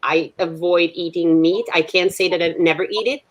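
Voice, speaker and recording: A woman's voice: on the low side, steady tone, normal speed, a little hiss or hum. A middle-aged woman from Serbia, a native Serbo-Croatian speaker, chatting as a singer-songwriter guest from her garage studio, hesitant with many fillers. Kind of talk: casual interview chat.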